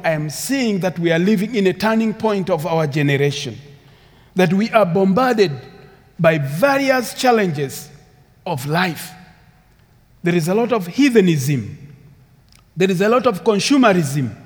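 A man with a Ugandan English accent preaches slowly and deliberately, his voice sitting a little high for a man. His earnest sermon repeats key phrases and climbs in emphasis. The sound carries a long church reverb. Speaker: guest preacher.

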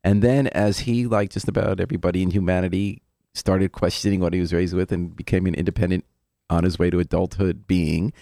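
Low-voiced man hosting a joking interview. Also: steady tone, a little fast, vocal fry, mellow, dry recording. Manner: joking, chatty questions. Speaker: radio show host. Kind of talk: casual interview.

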